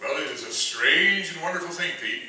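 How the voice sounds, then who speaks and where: A man playing a calm, reasonable army chaplain in a radio play. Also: on the high side, fairly quick. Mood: calm, reasoning. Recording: very echoey hall, distant mic.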